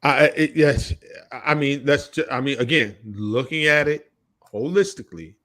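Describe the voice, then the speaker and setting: A man in his late forties with a medium-pitched voice co-hosts a casual podcast. He argues his opinions emphatically and often circles back to repeat a point for weight. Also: moderate pace, a bit bright. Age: late 40s. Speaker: podcast co-host. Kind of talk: casual music debate.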